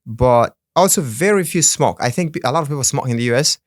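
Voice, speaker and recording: A man's voice: medium pitch, animated, fairly quick, no room sound, clean studio sound. A man from the Congo whose first language is Swahili, talking earnestly as a podcast guest, often laughing and restarting words. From Democratic Republic of the Congo. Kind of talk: casual interview chat.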